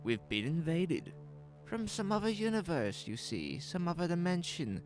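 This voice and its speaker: A man's voice: on the high side, big pitch swings, moderate pace, soft background music. An actor playing a frantic, paranoid father urgently ordering his family around in a horror audio drama. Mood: feverish, intense. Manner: urgent, forceful, insistent.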